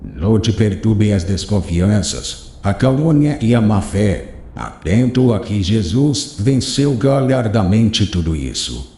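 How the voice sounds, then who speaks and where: Man doing old rabbi, solemn and fatherly, low dark voice, roomy echo, audiobook. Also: expressive. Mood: solemn counsel. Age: older. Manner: solemn, paternal, measured. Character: old wise male rabbi, gentle teacher. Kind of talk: audiobook character reading.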